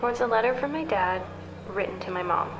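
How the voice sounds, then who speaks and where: A woman's voice: mid-range voice, fast, background noise, natural voice. A woman acting as a secretive, determined young scientist in a sci-fi audio drama, terse and guarded. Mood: guarded, matter-of-fact. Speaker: supporting character. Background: background music.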